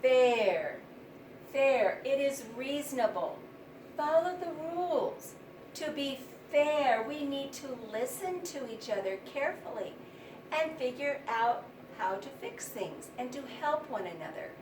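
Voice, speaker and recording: A woman teacher with a high, very lively voice talks to young children. She instructs step by step, asks simple questions and invites repetition, recorded at a distance from the mic. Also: measured pace. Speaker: primary school teacher. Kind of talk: read-aloud lesson for young children. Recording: roomy, distant mic, faint noise.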